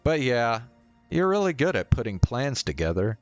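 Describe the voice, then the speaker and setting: A man livestreaming a visual novel, reading it aloud with casual asides, with soft game music behind him. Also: mid-range voice, sing-song, moderate pace, no echo, dull audio.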